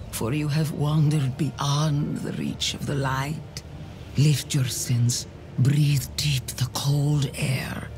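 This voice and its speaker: An actor playing a stern religious zealot in a game, preaching gravely and commandingly in archaic, solemn oration. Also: male voice, medium pitch, darkish tone.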